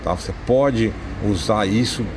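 A man's voice: lowish voice, a little slow, background noise. A man with a Brazilian accent, hosting a car channel, casually walking through a website in an informal, chatty voice-over. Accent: Brazilian Portuguese. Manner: chatty, informal, thinks aloud with fillers. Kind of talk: casual screen-share walkthrough.

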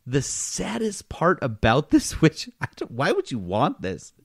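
A man's voice: fairly high pitch, wildly swooping pitch, measured pace, creaky, slightly rough. A man hosting a gaming podcast in casual, joking chat with a mock-incredulous manner. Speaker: podcast host.

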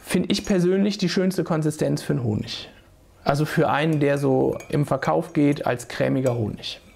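A man's voice: on the high side, normal speed, gravelly, darkish tone, a little hiss or hum. A man in his forties with a cold, casually explaining beekeeping to viewers in a small home room, talking to a desk webcam. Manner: chatty, restarts sentences, addresses viewers directly. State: hoarse from a cold. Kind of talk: casual how-to video.

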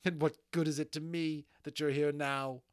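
A man voicing a bitter, fierce peasant woman, pitched up. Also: very animated, unhurried, dry recording, clean studio sound. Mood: anguished fury. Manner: scolding, anguished outbursts.

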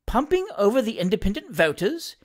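Mild, genteel older gentleman for a storybook, played by a man, innocently curious, courteous and measured, with expressive pitch. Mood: astonished, wondering. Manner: polite, measured, wondering.

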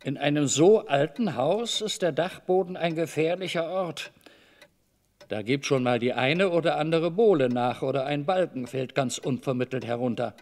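A man's voice: a little high, normal speed, a little mellow, lightly acted. A man playing an evasive, overly cordial middle-aged master of a house in a German radio drama, wordy, hesitant and patronizing. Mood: evasive, warning.

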